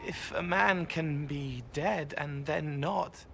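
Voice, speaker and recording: An eerie, gentle spirit in the form of a young man in a fantasy game. He speaks slowly and softly in halting, poetic phrases, with clear ambient noise behind. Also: on the high side, exaggerated voice. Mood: pained, hushed. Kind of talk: video game character acting. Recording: clearly noisy ambient background.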